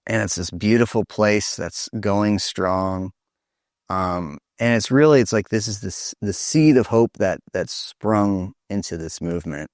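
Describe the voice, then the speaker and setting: A man hosting an informal online presentation, speaking in an earnest, halting way over a video call. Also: lowish voice, dry recording, clean studio sound.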